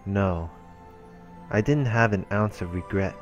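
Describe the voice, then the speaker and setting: A man's voice: lowish voice, unhurried, lo-fi sound, faint music behind. A man reading visual novel narration aloud as a let's player, in an earnest, dramatic storytelling way.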